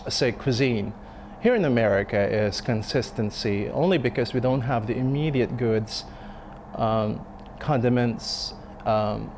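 A man in his thirties, a restaurant chef, speaking in a sit-down interview, thoughtful and halting with many fillers and restarts. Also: mid-range voice, darkish tone, slight background noise. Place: dim restaurant dining room. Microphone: lapel mic.

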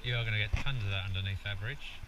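A man's voice: lowish voice, fast talker. An English man making dry, matter-of-fact remarks in casual outdoor chat beside a canal. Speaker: magnet fishing partner. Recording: loud outdoor noise on action camera.

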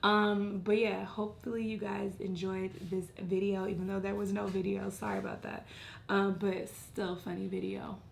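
A young woman hosting a reaction video, hoarse from losing her naturally deep voice, chatting casually and apologetically in a bright indoor room. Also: flat delivery, normal speed, slight background noise. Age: young adult.

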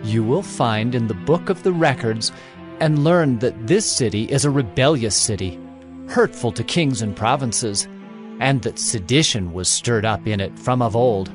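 A man plays a Persian provincial official in a biblical audio drama, declaiming an accusing letter formally. The pitch is mid-range, with soft music underneath. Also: expressive, measured pace, lightly acted. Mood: formal accusation.

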